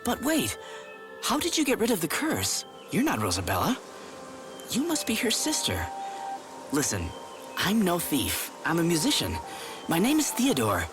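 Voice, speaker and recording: An actor playing a cursed musician in a game cutscene, talking in an intense, urgent, theatrical way. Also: male voice, a little high, wildly swooping pitch, moderate pace, pauses often, over music. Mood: startled, urgent. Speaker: musician character. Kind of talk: video game cutscene acting.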